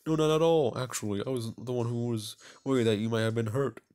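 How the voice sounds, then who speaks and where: A man voicing a cocky, friendly teenage schoolboy, the popular best friend in a visual novel, breezy and loud. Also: mid-range voice, quick pace, clear, resonant tone, close to an everyday voice. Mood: reassuring.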